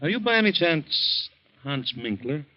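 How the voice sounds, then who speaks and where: Suave French police inspector, probing and sardonic, higher-set animated voice, old-time radio drama, dull recording. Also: male voice, unhurried. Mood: politely probing.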